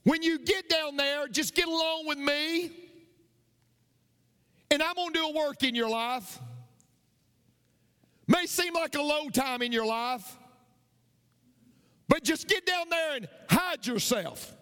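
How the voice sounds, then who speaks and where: A man preaching a sermon, telling a story and building to shouts. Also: very high-pitched, slow, long pauses. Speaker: pastor. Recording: long reverb of a hall.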